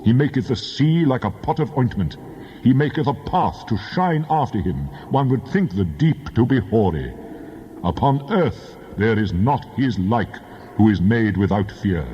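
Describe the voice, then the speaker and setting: An actor playing a mighty, wrathful God in a dramatized scripture reading, solemn and declamatory, with a husky voice. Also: male voice, mid-range voice, expressive, mellow, slight background noise, natural voice. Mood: grand, awe-inspiring. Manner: solemn, declamatory, grandly measured. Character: God, mighty, majestic, wrathful male deity. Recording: muffled, long cavernous reverb.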